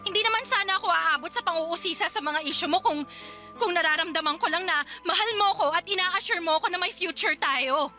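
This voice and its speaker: A woman's voice: extremely high pitch, a little fast, pushed and bright, faint music behind. A woman acting a frustrated young woman in an emotional radio drama argument with her boyfriend. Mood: arguing, bitter. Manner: emotional, pleading and accusing.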